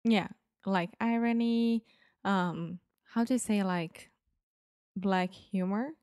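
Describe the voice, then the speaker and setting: A woman's voice: mid-range voice, takes it slowly, a little mellow, clear, resonant tone, no echo, clean studio sound. A woman with a Brazilian accent, a native Portuguese speaker, co-hosting a casual English lesson as a learner who asks questions and tries out examples. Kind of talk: casual conversational language lesson.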